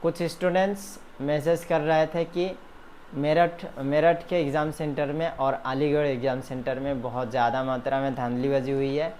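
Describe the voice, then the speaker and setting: A man's voice: a little high, fairly even intonation, moderate pace, a little mellow. Man commenting over background noise. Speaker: education vlogger addressing exam students. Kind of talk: spoken news commentary to viewers. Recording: noticeable background ambient noise.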